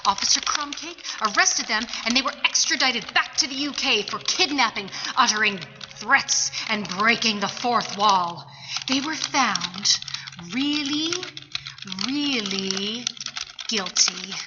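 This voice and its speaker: A woman playing the deadpan narrator of a radio comedy. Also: a little high, slow. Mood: wry. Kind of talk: scripted comic radio narration. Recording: muffled reverberant hall with clear noise.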